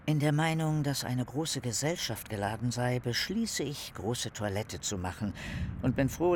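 An actor playing an eccentric, bookish young philosopher with a wry, self-important theatrical manner in a radio play. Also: male voice, medium pitch, background noise, lightly acted. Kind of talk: dramatic reading of letters in radio play.

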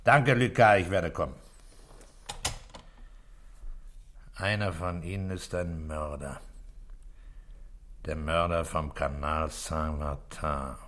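An actor playing a middle-aged police inspector who questions tersely and with authority in an old radio drama. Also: male voice, a bass voice, fairly even intonation, takes it slowly, a bit bright, full character voice. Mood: probing, calm. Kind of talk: 1960s German radio drama acting. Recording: noisy old radio recording with clicks.

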